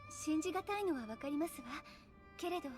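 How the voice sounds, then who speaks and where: A refined young noblewoman from an anime game, with a high voice. Her speech is composed, polite and genteel, set over soft background music. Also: expressive, fast talker. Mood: gentle, explanatory. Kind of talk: Japanese anime game voice acting. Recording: music behind the voice.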